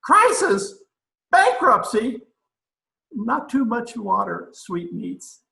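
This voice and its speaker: A man's voice: very high-pitched, big pitch swings, slow pace, creaky, bright, forceful tone, roomy sound, heavily acted, low-quality recording. A man playing a pompous, blustering dictator president in an old-time radio comedy recorded over a video call. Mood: startled, dismissive. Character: pompous, excitable dictator president of a banana republic.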